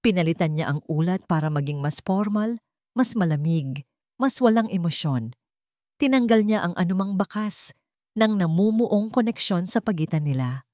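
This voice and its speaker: A Filipino woman narrates a scripted drama story. Her voice sits a little low for a woman, and the sound is dry, with hardly any room on it. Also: animated, normal speed, warm tone, no room sound.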